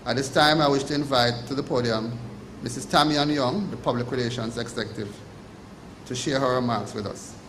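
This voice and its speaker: A man with a Jamaican accent delivering a measured, emphatic prepared speech at an awards ceremony in a hotel function room. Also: medium pitch, fairly bright tone, slight background noise. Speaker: ceremony speaker. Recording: echoey room with faint ambience.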